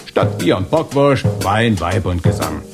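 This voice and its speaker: An actor playing a boastful, stubborn East German construction worker, blunt and earthy in a satirical radio play. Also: male voice, on the low side, big pitch swings, measured pace, music in the background.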